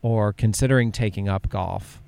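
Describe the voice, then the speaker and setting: A man's voice: lowish voice, normal speed, vocal fry, darkish tone, clear, resonant tone, no echo. A man from upstate New York hosting a casual interview, asking questions with enthusiastic restarts. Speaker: podcast host.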